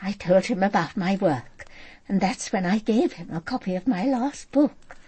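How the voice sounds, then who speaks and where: A woman's voice: mid-range voice, lively intonation, moderate pace, warm tone, slightly rough. An actress playing a polite, hesitant woman author giving her account as a witness in a radio drama. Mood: careful, recollecting. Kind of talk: naturalistic radio drama acting.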